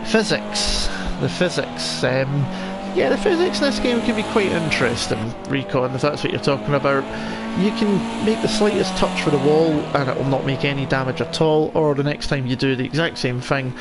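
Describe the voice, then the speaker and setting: A man's voice: on the high side, expressive, moderate pace. A man with a Scottish accent commentating live on an online car race, chatting conversationally with viewers in friendly asides. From Scotland. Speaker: esports racing commentator. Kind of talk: live sim racing commentary mixed with casual chat to viewers. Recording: loud race car engine noise. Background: racing game car engines.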